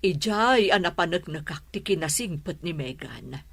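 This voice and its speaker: A woman with an Ilocano accent playing a meddling, sharp-tongued mother of a grown son in a drama. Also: fairly low pitch, lively intonation, normal speed, creaky, husky, no echo, exaggerated voice. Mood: complaining. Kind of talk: Ilocano comedy drama voice acting.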